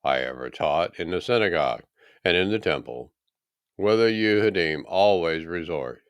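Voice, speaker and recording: A man voicing a calm, resolute holy teacher on trial in a scripture reading, solemn and deliberate as he challenges his questioners. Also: sits low, clear, resonant tone, no echo. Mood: calm, firm.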